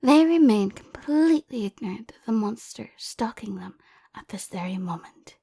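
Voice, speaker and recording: A woman voicing a mock-serious nature documentary narrator, hushed, breathy and suspenseful in an audio roleplay. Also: on the high side, animated, full character voice. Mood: mock-grave, suspenseful. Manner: hushed, breathy, slow and grandly dramatic.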